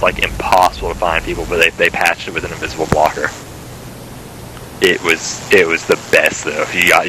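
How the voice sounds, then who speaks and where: A man chatting casually as he plays a game with a friend, rambling and exclamatory, on a very noisy recording. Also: sits low. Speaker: gaming partner. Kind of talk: casual chat while gaming. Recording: very loud noise with clicks.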